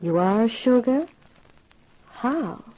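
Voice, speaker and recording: Flirty high-voiced nightclub hostess, noisy recording. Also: female voice, lively intonation, very slow, dark tone. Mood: teasing. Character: flirtatious, knowing nightclub hostess who deals dope. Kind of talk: 1950s radio drama acting. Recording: noisy, dull old radio recording.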